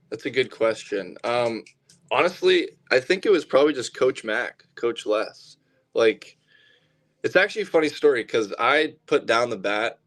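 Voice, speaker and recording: A man, answering casually and reflectively as an athlete in an interview. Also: medium pitch. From southern Alberta, Canada. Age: 20s. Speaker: baseball pitcher being interviewed.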